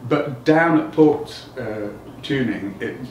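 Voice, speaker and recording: A middle-aged man giving a technical explanation as an interviewed designer, halting and thinking aloud as he goes. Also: medium pitch, expressive, darkish tone, highs cut off. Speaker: loudspeaker designer being interviewed. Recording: roomy, distant mic, noticeable background noise.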